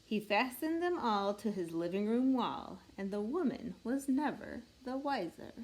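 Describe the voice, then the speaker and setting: A woman reading a rhyming picture book aloud in an expressive, measured storytelling way meant for children. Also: a little high, poor audio. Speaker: storybook reader.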